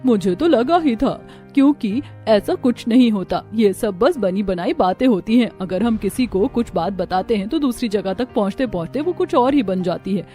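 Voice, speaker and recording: A woman's voice: medium pitch, animated, normal speed, few pauses, mellow, soft background music, close to an everyday voice. A woman voicing a sensible, skeptical young village daughter-in-law in a cartoon, matter-of-fact and reassuring. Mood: calm skepticism. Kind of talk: Hindi moral-story cartoon dubbing.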